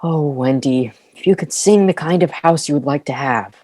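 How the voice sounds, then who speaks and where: A woman's voice: deep voice, moderate pace, warm tone, close to an everyday voice. Woman acting a commanding, proud boy leader, Peter Pan. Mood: bossy, eager. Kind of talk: audio play acting.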